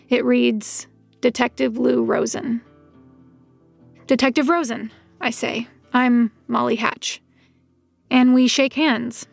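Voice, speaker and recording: Deadpan, creaky-voiced young woman. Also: fairly high pitch, dry recording. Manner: deadpan, measured. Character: reserved, watchful young woman seeking assistant job. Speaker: narrator, detective's new assistant. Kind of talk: first-person scripted narration.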